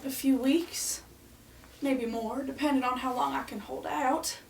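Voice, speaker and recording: Actress as earnest 1940s family woman, high voice, radio play, roomy sound, faint noise. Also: fairly even intonation, measured pace, creaky. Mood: weary, firm. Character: women and girl of a struggling 1940s family, earnest. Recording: echoey room, distant mic, faint hiss.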